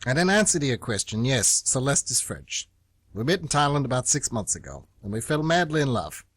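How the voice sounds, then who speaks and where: Male actor as jilted airport traveller, fed up and blunt, lively pitch swings, dry close recording, radio drama. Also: a little fast, no echo. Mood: wounded, incredulous. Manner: irritable, blunt, incredulous. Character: exasperated, heartbroken male traveller snapping at a stranger. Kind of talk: amateur radio play acting.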